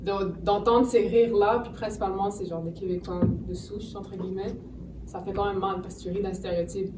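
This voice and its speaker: A young woman, co-hosting a casual podcast chat. She speaks in a measured, critical way. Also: mid-range voice, fairly even intonation. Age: young adult. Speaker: podcast co-host. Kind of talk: casual round-table chat. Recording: echoey room, far from the mic, noise.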